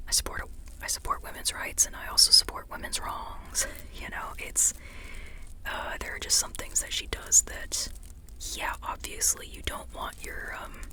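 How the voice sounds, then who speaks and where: A person whispering an informal, rambling ASMR book chat in a breathy, unvoiced voice, like a friend talking on and on. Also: very high-pitched. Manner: informal, rambling, often checking in with "you know". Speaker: book reviewer and artist.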